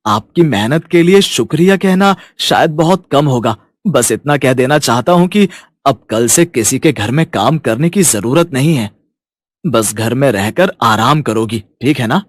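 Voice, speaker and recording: A man voicing a kind, soft-hearted young man in a storybook tale, earnest and respectful. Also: medium pitch, animated, a little slow, no echo, clean studio sound. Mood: grateful, tender. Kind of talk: storybook character voice.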